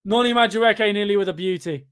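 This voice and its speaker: A twenty-year-old man from Devon with a Devon accent, chatting casually and rambling on a football watchalong stream, with sudden loud outbursts at chances. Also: high-pitched, brisk, smooth. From England. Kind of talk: casual live-stream chat reacting to a match and reading chat.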